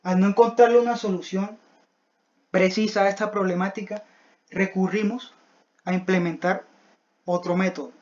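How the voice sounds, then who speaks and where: A young adult student giving a scripted technical presentation in a halting, didactic, step-by-step manner. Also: medium pitch, steady tone, darkish tone. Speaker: student presenting a class project. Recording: dull, lo-fi voice recording.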